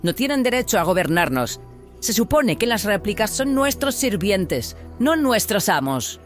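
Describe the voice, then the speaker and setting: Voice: mid-range voice, big pitch swings, measured pace, faint music behind. An actor as an intense, zealous rebel leader. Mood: pleading, scornful. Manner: intense, declamatory. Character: fervent rebel woman leader, zealous, pleading, hard. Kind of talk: dramatised audio fiction dialogue in Spanish.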